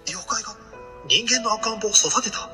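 An incredulous, flustered character played by a man speaks politely in a high, animated voice. It is audio drama acting, slightly distant from the mic, with music behind. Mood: shocked. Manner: halting, astonished, polite. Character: flustered, incredulous listener shocked by a rumour.

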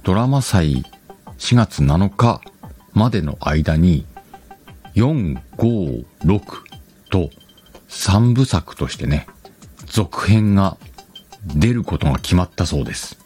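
Solo radio-style host: man, very low voice, lively intonation, chatty, faint music behind. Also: slow pace, pauses often. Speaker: host of an audio talk show.

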